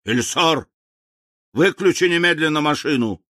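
A man's voice: medium pitch, big pitch swings, a little slow, sharp tone, dry recording, clean studio sound. A man playing a barking, hot-tempered general. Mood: furious. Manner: barking, imperious, curt. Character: commanding, hot-tempered male general of alien invaders.

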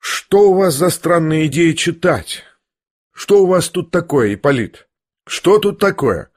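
Male narrator as curious party guest, puzzled, inquisitive, exclaiming, raised pitch, audiobook character. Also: lively intonation, a little slow.

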